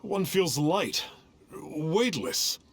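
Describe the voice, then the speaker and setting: A man playing a pompous, theatrical, bewildered ghost of a fraudster in a video game, with a creaky voice and affected manner. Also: on the high side, big pitch swings, drawn-out words, full character voice. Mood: bewildered, dramatic. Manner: affected, calls himself "one", dramatic. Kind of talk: game character dialogue.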